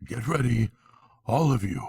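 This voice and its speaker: A man's voice: medium pitch, lively intonation, unhurried, darkish tone, breathy edge. A man voicing a placid, commanding badger leader in a storybook, speaking firmly.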